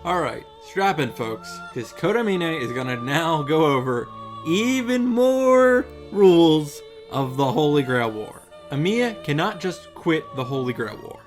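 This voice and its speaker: A man's voice: a little high, big pitch swings, unhurried. A man hosting a visual novel recap, giving casual summary commentary with wry showman asides. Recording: music playing behind the voice.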